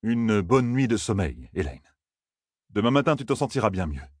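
A weary old gleeman speaks low and soft, as a man plays him in an audiobook. His husky voice is patient and soothing, turning firm when scolding. The sound is close and dry. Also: big pitch swings, moderate pace, no room sound.

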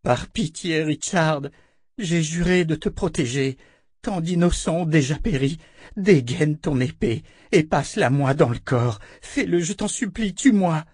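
A man's voice: a little high, animated, a little slow, a little mellow, breathy edge, dry recording, clean studio sound, pitched above the performer's own voice. A man voicing a desperate young woman who pleads in anguish with a broken, tearful voice. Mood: anguished pleading.

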